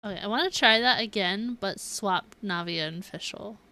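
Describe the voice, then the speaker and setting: A woman's voice: mid-range voice, normal speed, sharp tone. A woman game streamer chatting casually, musing aloud and indecisively to her viewers. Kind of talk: casual livestream chat.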